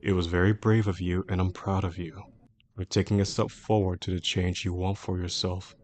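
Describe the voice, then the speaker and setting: A man playing a composed, caring CEO boss with a secretly obsessive streak in an intimate audio roleplay, speaking in a measured, formal, reassuring way. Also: low voice, level tone, darkish tone, lightly acted. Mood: gentle, reassuring. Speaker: voice actor in a roleplay audio drama. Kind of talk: intimate scripted roleplay audio addressed to the listener.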